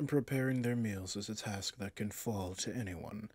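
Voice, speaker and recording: A man playing a possessive villain boss in an intimate ASMR roleplay, speaking formally and commandingly yet indulgently, in a husky, breathy voice. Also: on the low side, moderate pace, darkish tone, dry recording. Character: smooth possessive male villain boss, doting on favorite servant.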